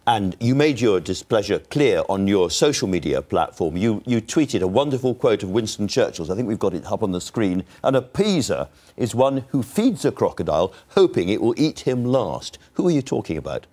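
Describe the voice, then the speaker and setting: A man's voice: on the low side, very animated, normal speed, few pauses, warm tone. A man working as a news interviewer, asking direct, pressing questions.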